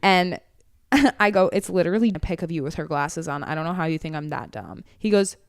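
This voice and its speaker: A young woman with a lower-pitched, smooth, clear voice. She co-hosts a casual chat, reading messages aloud in a mocking, theatrical tone. The sound is dry and close-miked. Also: animated, fairly quick, dry recording. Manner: dramatic, mocking readings with laughter. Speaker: podcast co-host.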